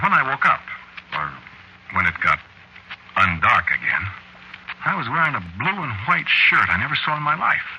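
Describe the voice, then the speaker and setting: A man's voice: mid-range voice, bright, forceful tone, heavily acted. A man acting in a vintage radio drama as a hard-headed, rattled fellow narrating his nightmare, hesitant and stammering in colloquial speech. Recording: noisy dull vintage radio recording.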